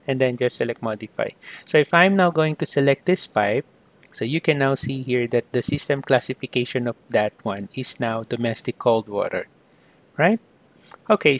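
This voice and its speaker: A man teaching software step by step in an explanatory screen-recorded lesson, often starting sentences with "so". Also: medium pitch, dry recording. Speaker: software tutorial instructor.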